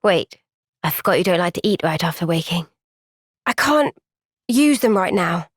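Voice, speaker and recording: An actress voicing a gentle, caring young female soldier in a game cutscene, speaking softly and earnestly. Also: lowish voice, lively intonation, moderate pace, husky, dry recording, studio quality. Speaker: game character. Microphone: close studio mic.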